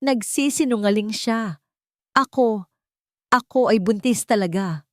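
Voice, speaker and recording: A woman's voice: a little high, unhurried, no echo, studio quality, voice pushed up, close to an everyday voice. A woman voicing a tearful, scheming wife who pleads, in an audio drama. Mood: desperate.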